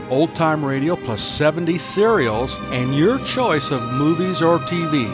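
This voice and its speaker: A man pitching his own products in a promotional ad read.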